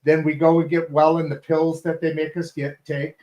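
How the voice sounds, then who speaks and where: A man's voice: on the high side, flat delivery, moderate pace, a little mellow, lo-fi sound. A man hosting a talk show, chatting casually and rambling through his guest's introduction with many restarts and fillers. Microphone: video call setup from a home studio.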